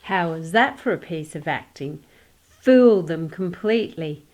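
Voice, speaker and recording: A woman playing a scheming, bossy veteran radio actress in a comedy drama, deliberate and self-satisfied. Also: fairly low pitch, lively intonation, darkish tone. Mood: gloating. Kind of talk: amateur-style comedy drama dialogue. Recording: echoey room.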